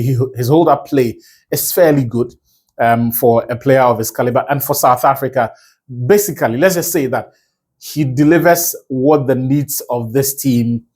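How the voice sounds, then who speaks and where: A man from Ghana giving a solo football analysis video, fluent and chatty, with asides and quick self-corrections. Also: medium pitch, darkish tone, breathy edge.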